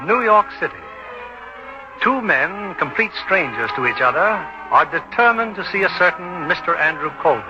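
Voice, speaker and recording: A man's voice: high-pitched, animated, moderate pace, loud music behind the voice. A man hosting and narrating a 1940s radio drama in a scripted announcer's style. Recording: dull 1940s radio recording.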